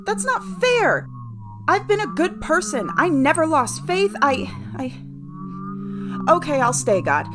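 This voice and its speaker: Animal-loving female veterinarian woman acting, theatrical and earnest, pitch swinging widely, music underneath. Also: sing-song, slow pace, heavily acted. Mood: pleading. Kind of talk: audio play acting.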